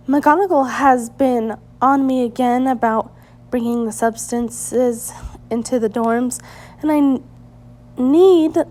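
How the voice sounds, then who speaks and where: A woman voicing a hurried, bossy teenage schoolgirl witch in a storybook reading, urgent and insistent. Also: sits high, darkish tone.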